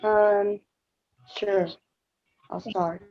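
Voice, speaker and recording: A woman's voice: medium pitch, fairly even intonation, extremely slow, soft background music. A woman panelist speaking off the cuff and plainly, reflecting personally over a video call. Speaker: panelist, community artist. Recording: muffled video-call audio.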